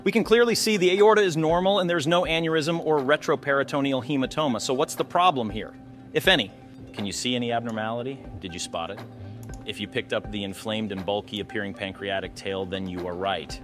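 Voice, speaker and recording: A man's voice: medium pitch, brisk, a bit bright, faint music behind. A man narrating an explanatory case walkthrough as a radiology instructor, posing questions to the viewer as he teaches. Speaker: radiology instructor presenting cases.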